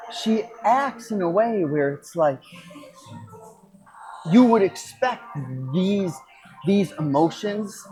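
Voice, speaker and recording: A man hosting a podcast solo, talking reflectively and unscripted, thinking aloud in a halting way and correcting himself. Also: a little high, a little mellow, poor audio. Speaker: podcast host.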